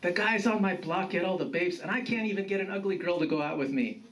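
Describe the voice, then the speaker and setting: A man's voice: sits high, expressive, moderate pace, pitched above the performer's own voice. A man voicing an earnest, hapless grandson of thirty in a story, hesitant and pleading. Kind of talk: storytelling character voice. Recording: distant mic with background noise.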